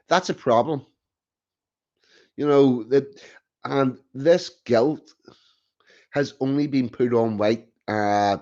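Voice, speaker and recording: Slow, blunt man in lo-fi audio. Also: mid-range voice, a little mellow. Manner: blunt, opinionated, frequent restarts. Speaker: host. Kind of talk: casual chat. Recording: dull lo-fi sound.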